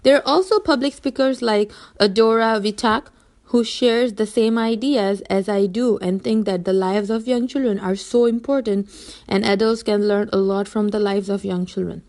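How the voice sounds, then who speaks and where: A young woman from Bangladesh gives a prepared seminar talk as a teacher. Her voice sits at an ordinary middle pitch, and she speaks earnestly and steadily, now and then restarting a phrase. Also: normal speed, a little mellow.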